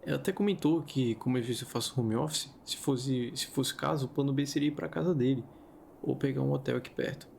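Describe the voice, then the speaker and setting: Gravelly Brazilian man, casual storyteller. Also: medium pitch, brisk, a little mellow, slight background noise. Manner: informal, conversational asides. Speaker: host of a story-reading video channel. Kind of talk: casual chatty commentary and storytelling.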